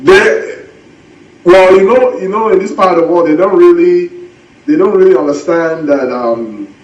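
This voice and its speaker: A man speaking as a pastor and guest in a casual interview chat, soft-spoken, laughing often and repeating words as he talks. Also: sits high, moderate pace, muffled recording. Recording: echoey and distant from mic.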